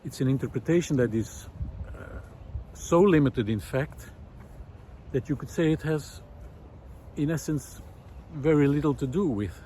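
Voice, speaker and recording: A middle-aged man musing aloud in a reflective, hesitant monologue with frequent pauses, recorded while walking outdoors. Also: a little high, mellow. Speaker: visual artist and vlog host. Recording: outdoor ambient noise.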